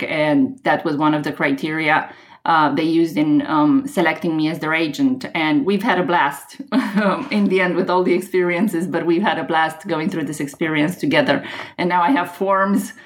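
A woman with a Romanian accent, a realtor guest talking casually on a podcast, often pausing with ums and restarting. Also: low voice, steady tone. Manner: hesitant, many ums and restarts.

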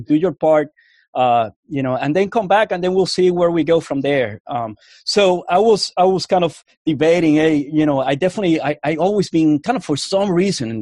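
A man's voice: fairly high pitch, a little mellow, dry recording. A man from Puerto Rico with a Cuban accent, an Army veteran telling his story in a casual interview, rambling with many restarts and fillers.

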